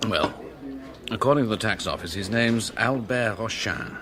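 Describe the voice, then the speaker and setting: An actor playing a keen, genial police detective, the chief's loyal sidekick, chatty and inquisitive in a British radio drama. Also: male voice, on the low side, lively intonation, moderate pace, a crowd in the background. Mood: brisk, pleased.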